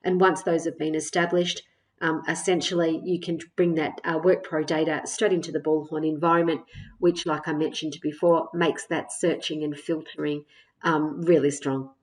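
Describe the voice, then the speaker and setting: A woman with a lowish voice narrates a software walkthrough. She explains each step in a measured, semi-scripted way, often pausing on small hesitations as she goes. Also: little pitch movement, poor audio. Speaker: software tutorial presenter.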